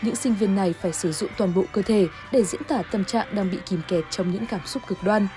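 A woman's voice: medium pitch, a little fast, mellow, soft background music. A woman reading scripted narration for a television news report.

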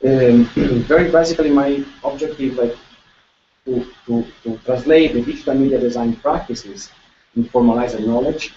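A middle-aged man from Italy with a strong Italian accent, presenting his research in a halting way, restarting and repeating words. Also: medium pitch, mellow, a little hiss or hum. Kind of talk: lecture presentation. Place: lecture room with projector screen. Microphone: far room camera. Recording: echoey room, distant and dull.

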